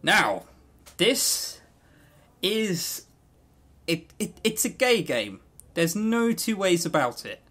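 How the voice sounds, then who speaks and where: A man co-hosting a podcast, chatting casually about games and telling stories in an animated, jokey, sweary way. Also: sits high, takes it slowly, pauses often. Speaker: podcast co-host.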